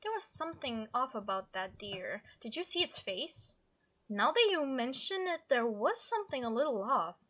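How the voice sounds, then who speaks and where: A woman with a high, bright voice plays host of a gaming video. Her delivery swings widely in pitch as she reads dialogue and narration aloud with dramatic expression, mixed with her own commentary. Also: big pitch swings, moderate pace. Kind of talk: playthrough commentary, reading game dialogue aloud.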